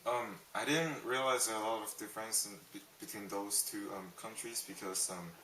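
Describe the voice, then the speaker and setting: A man's voice: fairly low pitch, steady tone, moderate pace, pushed and bright, slight background noise. A young man from Taiwan answering interview questions, hesitant, with many ums and restarts. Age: young adult. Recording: roomy, distant mic.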